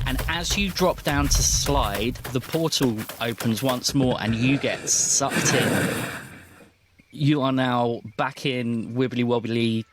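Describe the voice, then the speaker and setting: A man running a tabletop role-playing game as game master, narrating scenes as he improvises them. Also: mid-range voice. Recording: clear background noise.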